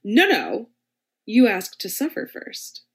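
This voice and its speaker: A woman's voice: mid-range voice, wildly swooping pitch, takes it slowly, pitched above the performer's own voice, heavily acted. A woman voicing a commanding, icy female dominatrix for hire who speaks in a cool, clipped, controlling way. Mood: domineering. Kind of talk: dramatized reading of parody fiction.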